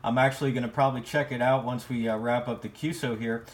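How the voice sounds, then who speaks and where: An American man chatting casually as an amateur radio operator, with halting, repeated phrasing. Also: medium pitch, steady tone. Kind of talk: casual ham radio conversation.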